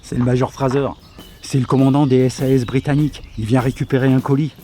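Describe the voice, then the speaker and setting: An actor playing a wry resistance fighter bantering casually with a comrade in an audio drama. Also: male voice, medium pitch, level tone, fast talker, mellow, a little hiss or hum, lightly acted. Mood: amused.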